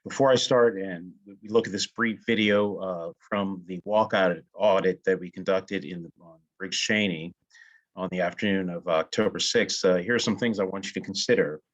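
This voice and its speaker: A man presenting slides in an online meeting, semi-scripted, with hesitant, frequent pauses. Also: deep voice, highs cut off. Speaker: co-host, presenter.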